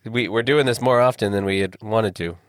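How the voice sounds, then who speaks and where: A man's voice: fairly low pitch, fairly quick, no room sound, clean studio sound. Young man hosting a podcast with a cold. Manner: easygoing, self-deprecating. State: has a bad cold. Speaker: podcast host. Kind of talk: casual podcast chat.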